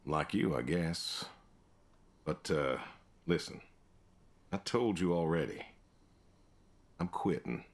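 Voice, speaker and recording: An actor playing a weathered, stubborn older pig farmer in a video game, with a wry, folksy, hesitant way of telling things. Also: male voice, low-pitched, animated, exaggerated voice. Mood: firm, resigned. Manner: folksy, hesitant, unhurried storytelling. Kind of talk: naturalistic video game acting.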